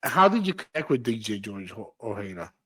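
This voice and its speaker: A man's voice: on the low side, big pitch swings, a little fast, fairly bright tone, husky. A man hosting a casual interview over a video call, asking short, friendly questions. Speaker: podcast host.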